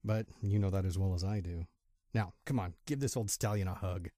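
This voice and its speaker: A man's voice: low voice, a little fast, dark tone, no room sound, clean studio sound, lightly acted. A man playing a kindly middle-aged stallion father in an amateur audio drama, folksy and affectionate. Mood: warm, jovial.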